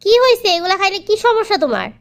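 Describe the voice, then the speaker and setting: Stubborn young cartoon wife played by a woman, extremely high childlike pitch, fast complaining delivery, Bangladeshi Bengali accent. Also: sing-song, exaggerated voice. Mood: indignant. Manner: complaining, repeats words. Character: quarrelsome, stubborn young wife and sister-in-law. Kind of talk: cartoon family comedy drama.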